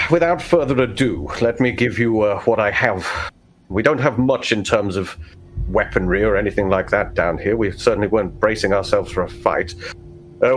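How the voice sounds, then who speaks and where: A man acts out a stern vault overseer for a tabletop game. His voice sits on the low side, and he gives orders with pompous, formal, measured authority. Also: animated, slight background noise, lightly acted. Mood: commanding, formal. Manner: formal, measured, stiff-upper-lip.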